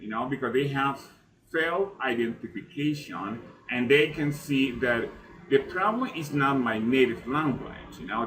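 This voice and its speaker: Male teacher with a non-native accent, unhurried and rambling, a faint noise floor in the background. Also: medium pitch. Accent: non-native English. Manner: slow, rambling speech with many "you know" fillers. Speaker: English teacher. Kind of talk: conversation practice session.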